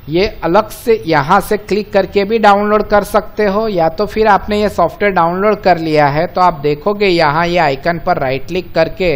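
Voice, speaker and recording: A man with an Indian accent presenting an informal step-by-step software tutorial, explaining to viewers as friends and mixing in English terms. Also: on the high side. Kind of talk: step-by-step screen-recording walkthrough, informal.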